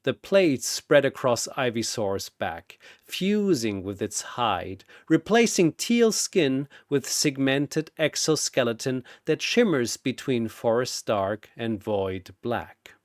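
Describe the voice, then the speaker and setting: A man's voice: medium pitch, big pitch swings, slow, hardly any pauses, no room sound, clean studio sound. A man delivering dramatic scripted battle narration in a solemn, theatrical manner.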